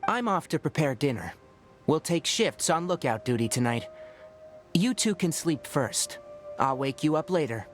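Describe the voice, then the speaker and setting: A chill know-it-all young ranger, kinda high voice, lively, soft game music behind. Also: male voice, moderate pace. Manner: calm, explanatory, mildly teasing. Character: patient, knowledgeable young male ranger. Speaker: forest ranger and guide. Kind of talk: video game voice acting. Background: faint game music.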